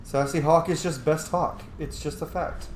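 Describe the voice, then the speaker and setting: A man's voice: a little high, steady tone, moderate pace, reverberant, a little hiss or hum. A man doing casual, playful and sweary commentary while playing a video game. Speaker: gaming streamer. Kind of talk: casual let's play commentary.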